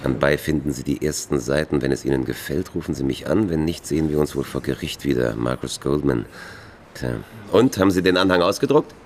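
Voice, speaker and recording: An actor playing a gruff, impatient publishing boss in a German radio drama. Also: male voice, extremely low pitch, fairly quick, a little mellow, a little hiss or hum. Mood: brusque.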